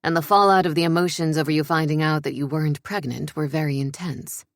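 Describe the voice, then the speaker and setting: An actor voicing a commanding, controlled older royal man in audiobook dialogue, measured and authoritative. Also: on the high side, steady tone, no echo, clean studio sound, close to an everyday voice. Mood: firm, measured.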